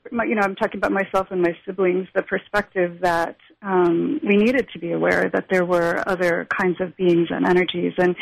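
Creaky-voiced woman speaking reflectively. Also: medium pitch, no echo, poor audio. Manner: thoughtful, with frequent fillers and restarts. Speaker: spiritual practitioner and teacher. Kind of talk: reflective interview answers.

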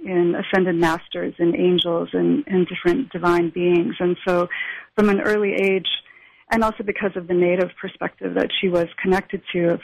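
A woman who is a spiritual practitioner and teacher, answering interview questions thoughtfully, with many pauses and restarts. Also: lowish voice, gravelly, low-quality recording. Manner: thoughtful, with frequent fillers and restarts.

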